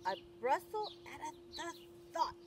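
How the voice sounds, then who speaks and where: Lady as a huffy prickly cactus, kids book, high voice, birds in background. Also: very animated, slow, heavily acted. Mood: offended. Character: prickly, offended storybook cactus. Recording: outdoor recording with clear birdsong noise.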